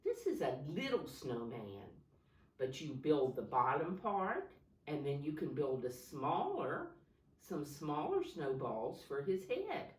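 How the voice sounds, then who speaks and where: A woman with a low voice leads storytime for small children. Her delivery is slow and instructive, in simple words with questions and prompts. The recording is roomy, with the microphone some distance away. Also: very animated. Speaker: preschool teacher reading a picture book aloud. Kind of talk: storytime talk to young children.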